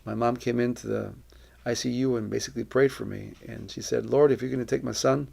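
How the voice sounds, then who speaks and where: A middle-aged man from Colombia speaking as a missionary, giving a personal testimony in an earnest, reflective, deliberate way. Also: medium pitch, steady tone, creaky, darkish tone, slightly rough.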